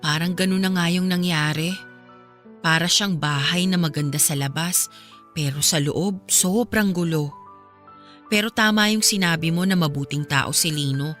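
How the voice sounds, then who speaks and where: A woman's voice: lowish voice, normal speed, fairly bright tone, lightly acted. An actress playing a caring young woman with a Filipino accent, earnest and reflective in radio drama dialogue. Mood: concerned, reflective. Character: thoughtful, caring young woman asking about troubled friend. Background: music under the voice.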